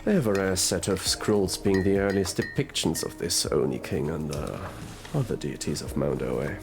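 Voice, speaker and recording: A man playing a gruff, sardonic detective in an audio roleplay drama, drawling and murmuring through his pauses. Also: low voice. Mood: musing. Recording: music behind the voice.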